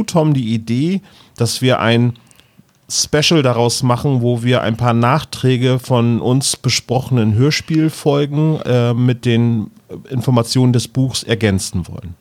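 A man's voice: medium pitch, a little mellow, no echo. A man hosting a podcast in a casual, chatty style. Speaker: podcast host. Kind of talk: casual podcast chat and announcements.

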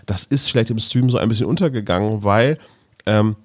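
A man's voice: fairly low pitch, brisk. A man chatting casually as a podcast host, often hesitating as he searches for the right word. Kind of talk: casual chat.

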